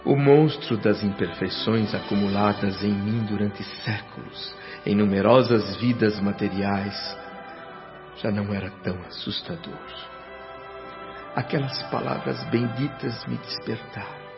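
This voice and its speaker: A man's voice: lowish voice, creaky. An actor with a Brazilian accent playing the anguished spirit of a dead man, a devoted son, in an emotional, plaintive radio drama style. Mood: reverent, moved. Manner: slow, emotional, plaintive delivery. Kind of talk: melodramatic radio drama acting and narration. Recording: muffled radio drama with music behind.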